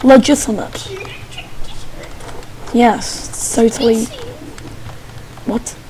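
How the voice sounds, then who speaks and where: A young woman giving casual, excitable commentary while playing a game, squealing and making playful sing-song noises. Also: a little high, very slow, a little hiss or hum, poor audio. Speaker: gamer. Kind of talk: casual let's play commentary.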